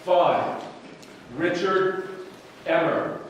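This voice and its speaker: A man's voice: high-pitched, very slow, muffled recording. A man announcing names and giving instructions formally as a speech contest announcer. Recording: echoey hall, distant mic, background noise.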